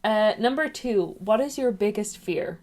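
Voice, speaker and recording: A woman's voice: mid-range voice, expressive, unhurried, darkish tone. Chatty woman vlogging on a webcam. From England, raised in Ireland. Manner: chatty, rambling, drifts off topic. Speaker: YouTuber. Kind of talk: casual chatty vlog.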